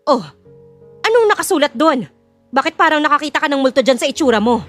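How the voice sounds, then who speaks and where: A woman's voice: high-pitched, animated, fast talker, faint music behind. A woman playing a greedy, haughty middle-aged mother in an audio drama, with a scornful, theatrical delivery. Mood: indignant. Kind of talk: audio drama character acting.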